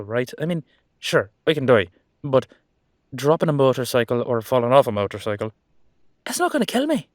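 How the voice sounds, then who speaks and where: A man's voice: fairly high pitch, dry recording, studio quality, voice pushed up. A man playing a cheeky, easygoing immortal headless horseman in a roleplay audio drama, talking in a casual, rambling, reassuring way. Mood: exasperated, insistent.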